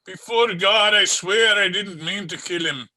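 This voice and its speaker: A man's voice: high voice, measured pace, bright, forceful tone, full character voice. A man playing an anguished, panicked police sergeant uncle in an old time radio drama, frantic and pleading, repeating himself, recorded over a video call. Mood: tormented confession.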